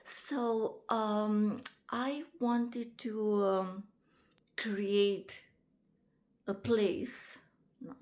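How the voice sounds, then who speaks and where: A woman, introducing herself to camera in a casual vlog, halting often with ums and restarts. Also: medium pitch, fairly even intonation, a little mellow. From Romania. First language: Romanian. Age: 50s. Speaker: vlogger and author.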